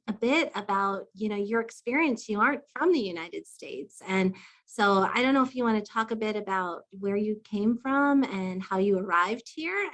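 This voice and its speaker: A woman hosting a podcast interview, talking in a warm, enthusiastic, conversational way. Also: medium pitch. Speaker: podcast host.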